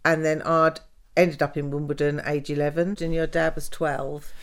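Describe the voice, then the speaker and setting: An older woman, telling the story of her life in a casual interview, with soft laughs and fillers. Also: low voice, little pitch movement, normal speed. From Canada. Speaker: guest, host's mother.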